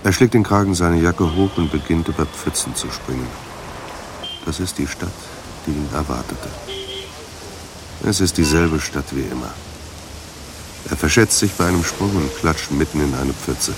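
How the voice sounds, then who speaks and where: A man narrating a noir audio drama in terse, measured, brooding sentences. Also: rumbling low. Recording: rain and street noise behind voice. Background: rain, traffic ambience.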